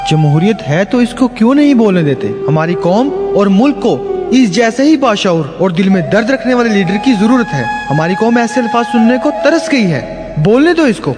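A man's voice: sits high, sing-song, moderate pace. A man playing an earnest radio drama host who addresses listeners in a rhetorical way. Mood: protesting. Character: earnest male show host defending the speaker. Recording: reverberant hall, music behind.

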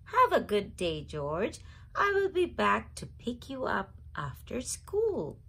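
Caring male storybook guardian, read by a woman, polite and gentle, unhurried with some creak. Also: fairly high pitch, wildly swooping pitch, heavily acted. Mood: polite, fond. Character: friendly, caring man, a monkey's guardian, in a storybook.

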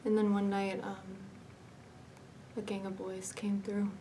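A woman performing a dramatic monologue as a fragile, haunted young woman, speaking haltingly with hesitant pauses and repetitions. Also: medium pitch, monotone, warm tone, distant mic, a little hiss or hum, natural voice. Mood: uneasy, halting.

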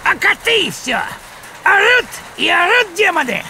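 A man voicing a cranky, exasperated older male villager in an animated cartoon, complaining in a shouting manner. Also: very high-pitched, measured pace, full character voice.

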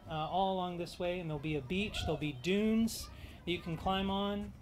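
A man in his thirties giving a public speech at an outdoor park event into a stand mic, halting, with many fillers and restarts. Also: sits high, slight background noise. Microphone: handheld mic on stand. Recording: faint outdoor ambience.

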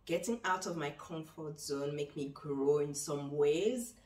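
A low-pitched woman tells her travel story to the camera in a slow, reflective way with many pauses. She sits in a small bright room. The sound is roomy and echoey, since the camera picks her up from across the room. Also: animated, recorded from a distance. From Benin, raised in France. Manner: reflective, gestures while talking, many pauses. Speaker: travel vlogger. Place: small bright room indoors, seated in armchair.

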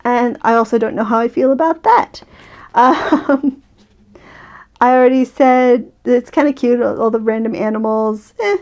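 A woman in her thirties with a fairly high voice chats casually as a reviewer. She is wry and often interrupts herself, recorded in a small furnished room on a camera a little way off. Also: normal speed, darkish tone, highs cut off. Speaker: book reviewer.

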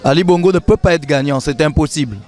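A man's voice: on the high side, fast, darkish tone. A man in his thirties, an activist from Gabon, speaking firmly and insistently into a handheld mic on a city street at night. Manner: firm, insistent, repeats his points. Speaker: activist interviewee. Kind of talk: street interview statement. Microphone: handheld reporter mic.

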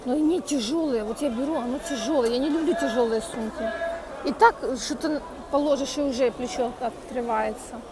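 A woman's voice: high voice, a little fast. A woman vlogging as she walks through an outdoor market, chatting to viewers and haggling with sellers in accented Turkish, filmed on a phone with an earphone mic. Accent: Russian-speaking, speaks Turkish with a foreign accent. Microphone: phone camera with earphone mic. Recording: busy market crowd and noise.

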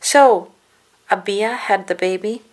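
A woman's voice: mid-range voice, slow, lightly acted, poor audio. A woman reading the part of a courteous, formal male parson in a colonial storybook. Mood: courteous, warm.

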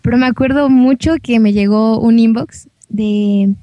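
A woman's voice: fairly high pitch, measured pace, no echo, muffled recording. A young adult woman guesting on a gameplay show, chatting casually and telling anecdotes in an informal, giggly manner.

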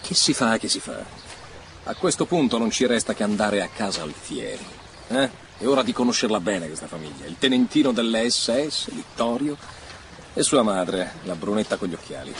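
Low-voiced, weary police commissioner. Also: male voice, expressive, moderate pace. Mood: determined, brusque. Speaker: actor in radio drama. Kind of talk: naturalistic radio drama acting. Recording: noisy radio drama with ambient sounds.

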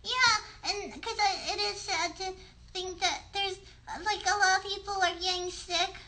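A woman voicing an earnest, curious little girl puppet, speaking haltingly like a child in a children's livestream show. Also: extremely high pitch, steady tone, heavy background noise, pitched above the performer's own voice. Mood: a little sad. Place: bedroom at home. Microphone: phone a short distance away.

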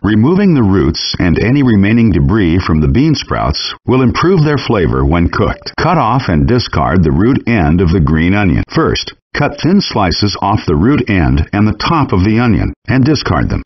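A man with a low, slightly husky voice reads cooking steps in a calm, measured way. His pitch moves around lively between phrases. The sound is dull and lo-fi, like audio pulled from a handheld game. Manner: calm, even instructional delivery. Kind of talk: scripted recipe instructions.

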